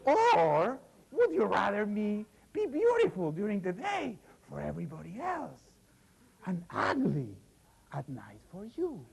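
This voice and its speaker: A man voicing Lady Ragnell, an enchanted young noblewoman in a spoken fairy tale, with a high, earnest voice. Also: wildly swooping pitch, a little slow, gravelly, darkish tone, full character voice, poor audio. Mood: pleading. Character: enchanted young noblewoman, bride under a spell.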